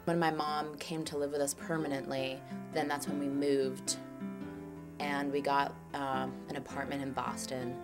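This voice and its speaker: A young woman from Chile talks through her own story in a relaxed, chatty flow, peppering it with "like" and little acted quotes. Her voice sits low for a woman. Guitar music plays clearly beneath her. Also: a little slow. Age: 20s. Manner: chatty, lots of "like", acts out quotes. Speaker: documentary subject. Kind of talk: casual personal storytelling. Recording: music playing underneath.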